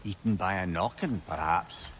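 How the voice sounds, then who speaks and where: A man's voice: low-pitched, normal speed, a bit bright, slight background noise. A man with a Scottish accent playing a wry, garrulous old sage in a video game, chatty and learned. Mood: chatty, informative. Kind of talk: video game cinematic acting.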